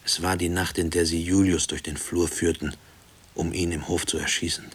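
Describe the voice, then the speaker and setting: An actor playing a haunted middle-aged former prisoner, speaking a hushed, deliberate, brooding radio play monologue. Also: male voice, deep voice, level tone, slight background noise. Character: haunted male former prison inmate, brooding family man. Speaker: narrator and lead character of a radio play. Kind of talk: brooding first-person radio drama monologue.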